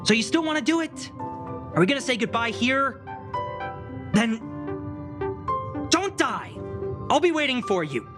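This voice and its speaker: A woman's voice: high voice, big pitch swings, unhurried, long pauses, over music. A woman voicing a proud, flustered young video game character who is huffy and dramatic and hides affection. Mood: flustered, resigned.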